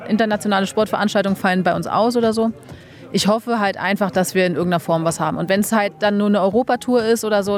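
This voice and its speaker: A young German woman talking quickly and frankly in an interview, her sentences dotted with casual fillers. Her voice sits at a middle pitch, and soft music plays faintly behind her. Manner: frank, relaxed, many fillers like "halt". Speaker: bobsleigh pilot, interview guest.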